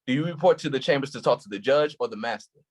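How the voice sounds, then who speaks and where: An actor playing a hurt, defensive male police officer in a staged play reading, touchy and quick to snap back. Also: medium pitch, expressive, lightly acted.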